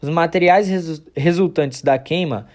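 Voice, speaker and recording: A man's voice: on the high side, moderate pace, a little mellow, close to an everyday voice. A man with a Brazilian accent playing a diligent student who recites a textbook-like explanation in an amateur radio play. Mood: earnest, reciting. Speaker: student in class.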